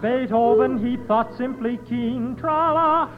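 High, slow-singing male quartet voice. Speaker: vocal quartet singer. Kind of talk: old radio quartet singing, light operetta tunes. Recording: muffled vintage radio music.

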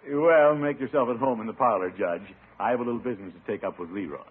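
An actor playing a fussy, pompous middle-aged American uncle, exasperated and blustering, in old radio comedy style. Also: male voice, medium pitch, fast, breathy edge, close to an everyday voice. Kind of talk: 1940s-50s radio comedy acting. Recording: muffled vintage radio broadcast recording.

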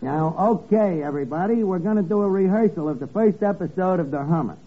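A man's voice: high voice, brisk, over loud music, natural voice. An actor playing a bossy, slangy, scheming tavern man in old radio comedy, wisecracking and mangling his grammar. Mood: bossy, self-important. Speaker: comic lead of a radio sitcom. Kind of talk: 1940s-50s radio comedy acting. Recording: scratchy, noisy, narrow-band old radio recording.

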